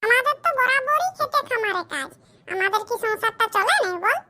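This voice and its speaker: A performer voicing a fussing, affectionate village mother in a comedy cartoon, with a pitched-up voice. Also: expressive, brisk, sharp tone, exaggerated voice. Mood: nagging. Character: fussing, affectionate middle-aged village mother, plain-spoken cartoon character.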